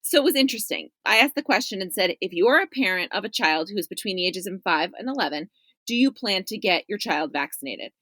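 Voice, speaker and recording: A woman's voice: medium pitch, lively intonation, fast, a bit bright, no echo. A woman journalist talking casually as an interview guest on a podcast.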